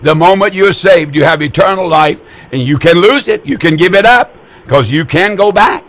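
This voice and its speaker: A man's voice: on the high side, moderate pace, a bit bright. Stammering preacher man in his eighties. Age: older. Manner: folksy, repetitive preaching with stammered restarts. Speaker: radio preacher taking calls. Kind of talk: call-in religious broadcast.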